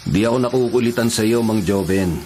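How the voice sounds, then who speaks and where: An actor playing a big, steady male cell leader who speaks calmly and with authority in a radio drama. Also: lowish voice, a little fast, slight background noise, close to an everyday voice. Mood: persuasive. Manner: calm, firm, authoritative. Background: music.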